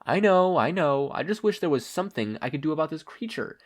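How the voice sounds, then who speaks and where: A man's voice: fairly high pitch, lively intonation, a little fast, voice pushed up. A man voicing an earnest, anxious teenage boy hero with a fretful, urgent manner in a story reading. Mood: strained, anxious.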